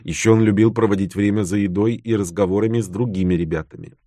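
A man reading a scripted biography as an audiobook narrator, with a measured, articulate storytelling delivery. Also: fairly low pitch, fairly even intonation, mellow, no room sound, clean studio sound.

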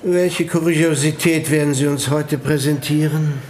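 An actor playing a bored, haughty German prince in a radio play, dry, dismissive and mocking. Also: male voice, on the high side, fairly even intonation, a little slow, a little mellow, a little hiss or hum, close to an everyday voice. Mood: bored disdain. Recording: studio drama with music behind.

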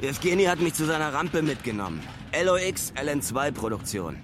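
A man's voice: fairly high pitch, very animated, a little fast. A man playing a weary traveler who gives a diary-like radio drama account from a decayed launch site, in German. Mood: wary, observant. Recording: loud outdoor machinery and vehicle ambience.

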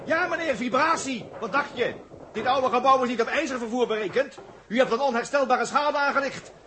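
An actor playing a brisk, sardonic space crewman who bluffs his way along in a 1960s radio drama. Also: very high voice, sharp tone. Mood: indignant, bluffing. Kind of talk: 1960s Dutch science fiction radio drama. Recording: vintage radio recording, faint noise.

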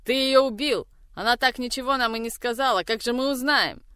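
A woman's voice: mid-range voice, unhurried, a bit bright, smooth, no room sound, clean studio sound, close to an everyday voice. A woman voicing a cruel older woman who tortures a captive, with vicious threats and shrieking anger. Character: cruel brutal older woman gang mother. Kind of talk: audiobook reading.

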